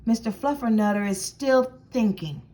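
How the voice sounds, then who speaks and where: A woman's voice: a little high, normal speed, dark tone, close to an everyday voice. A woman voicing a stern mother cat from a storybook, scolding and lecturing in a firm manner.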